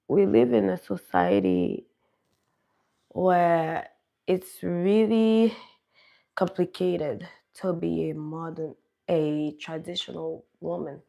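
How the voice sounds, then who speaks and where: A woman's voice: fairly low pitch, creaky, warm tone. A young woman from Canada chatting casually on a livestream at home, greeting viewers by name. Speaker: livestream host. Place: home in a cold region.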